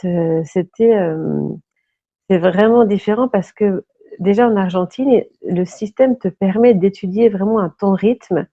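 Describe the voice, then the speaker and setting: A woman's voice: fairly low pitch, no echo. A woman in her thirties from Brittany talks through her story as an interview guest. She sounds earnest and reflective and stops often to search for the right word. The sound is dull and lo-fi, with a dry, close feel. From Brittany, France. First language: French. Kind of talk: casual interview talk.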